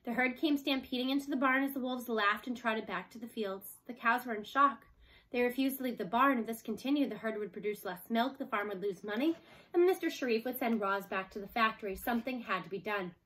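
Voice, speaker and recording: A woman reading a children's book aloud as an expressive scripted narration. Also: a little high, a little fast, low-quality recording. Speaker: storybook reader.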